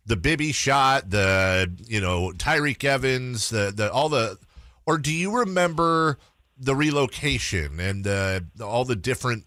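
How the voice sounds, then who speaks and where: A man's voice: medium pitch, pushed and bright, no room sound. An American man hosting a sports radio interview in a casual, informal, meandering way.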